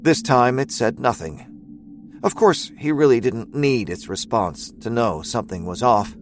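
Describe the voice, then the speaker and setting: Man narrating dark fantasy, mid-pitched. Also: animated, soft background music. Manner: measured, storytelling. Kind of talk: scripted dramatic fiction narration.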